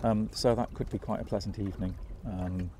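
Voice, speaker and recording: An older man talks casually from a small open boat, self-mocking and relaxed, his low voice warm and mellow. The clip is recorded on a boat-mounted camera, with the rush of wind and water clearly heard behind him. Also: fairly quick. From England. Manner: easygoing, self-mocking, chatty with fillers. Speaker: sailing vlogger. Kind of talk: casual vlog narration. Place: small open sailing boat on an estuary, outdoors. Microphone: action camera mounted on the boat.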